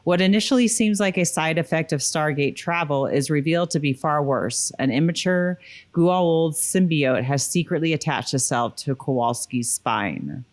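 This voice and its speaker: A woman's voice: low-pitched, moderate pace. A woman co-hosting a podcast in a casual, conversational style. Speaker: podcast co-host.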